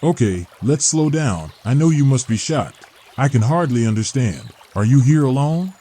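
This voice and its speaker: A man's voice: medium pitch, lively intonation, moderate pace, dark tone, close to an everyday voice. A man playing a kindly, protective older man with a folksy, colloquial way of talking in a dramatized audio comic. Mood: calm, concerned. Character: kindly older homeless man, gruff but protective rescuer.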